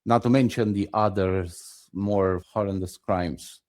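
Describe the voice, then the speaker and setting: A man's voice: deep voice, fairly even intonation, slow pace, darkish tone. A man from Romania with a Romanian accent, co-hosting a casual podcast and adding skeptical, wry asides. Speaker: podcast co-host.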